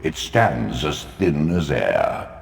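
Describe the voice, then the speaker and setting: An ancient, imperious spirit god for a fantasy game. The voice is extremely low and gravelly, drawn out in solemn pronouncements, and swathed in long, cavernous reverb. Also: male voice, expressive, background noise, heavily acted. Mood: instructive, grave. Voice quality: deep, booming, gravelly. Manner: slow, grave, oracular pronouncements. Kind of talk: dramatic video game voice acting.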